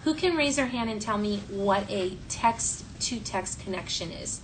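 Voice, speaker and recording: A woman teacher reading a storybook aloud to her class and prompting the children in clear, instructional phrases. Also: mid-range voice, animated, measured pace. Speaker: elementary school teacher. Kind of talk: classroom read-aloud and questioning. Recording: distant mic, faint classroom noise.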